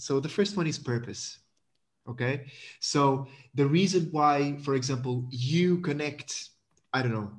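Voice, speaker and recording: A man in his thirties from Portugal, speaking English on a video call, eager and full of fillers, restarts and repeated words. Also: medium pitch. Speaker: guest, community manager.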